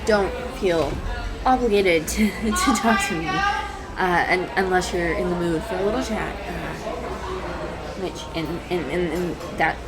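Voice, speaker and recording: Woman acting a slow, hesitant, shy coffee-shop writer. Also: lowish voice, over loud music, lightly acted, poor audio. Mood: shy, nervous. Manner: hesitant, stammering, nervous giggles, apologetic. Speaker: audio roleplay performer. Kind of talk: intimate one-sided audio roleplay acting. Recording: clear background noise, cafe ambience effects. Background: coffee shop sound effects.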